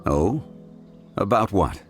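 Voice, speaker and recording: Deep-voiced, dignified ancient god. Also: male voice, lively intonation, loud music behind the voice. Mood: courteous, reserved. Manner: measured, formal, grave. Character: ancient dignified god in human guise, calm and wistful. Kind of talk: scripted video game dialogue.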